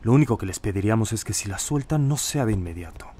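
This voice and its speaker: An actor playing a shrewd, guarded Mexican government official in an audio drama, formal and discreet. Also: male voice, lowish voice, animated, quick pace, mellow, breathy edge. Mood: cautious, formal. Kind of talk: scripted audio drama dialogue.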